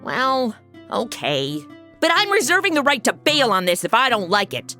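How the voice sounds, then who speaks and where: A woman playing an excitable, sulky young woman in a comic audio drama, with a bubbly, sassy, dramatic delivery. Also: a little high, normal speed, faint music behind. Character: excitable, sulky young woman zapped into old radio shows. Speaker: star of a comedy audio drama podcast. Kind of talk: comic audio drama acting, casual modern speech.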